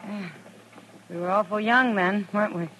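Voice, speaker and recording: A woman's voice: medium pitch, normal speed, fairly bright tone, a little hiss or hum, natural voice, poor audio. An actress playing a breezy, wisecracking frontier woman in a 1950s Western radio drama, folksy and wry, on an old radio recording. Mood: friendly.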